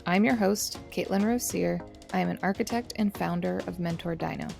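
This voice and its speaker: A woman's voice: lowish voice, moderate pace, creaky, darkish tone, soft background music. A woman who hosts an interview channel, opening with a friendly scripted intro and asking questions over a video call. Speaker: interview host. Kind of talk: podcast interview hosting.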